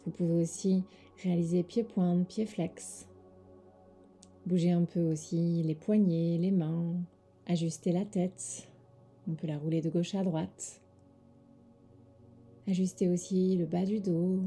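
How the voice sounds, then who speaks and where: A woman with a soft, breathy voice leads a guided sleep meditation as a yoga teacher, giving gentle, soothing instructions with pauses. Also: fairly low pitch, fairly even intonation, soft background music.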